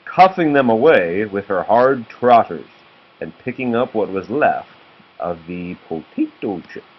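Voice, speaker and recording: A man's voice: on the low side, animated, unhurried, husky. A man reading a children's story aloud as a father does, patiently stopping to explain words and answer questions. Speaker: father reading a picture book to his children. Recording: dull home recording with faint noise.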